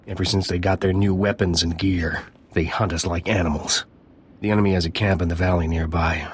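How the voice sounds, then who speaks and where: Deep, gravelly, militant scout chief. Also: male voice, fairly even intonation, a little fast. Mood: gruff resolve. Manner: blunt, hard-bitten. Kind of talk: video game dialogue acting.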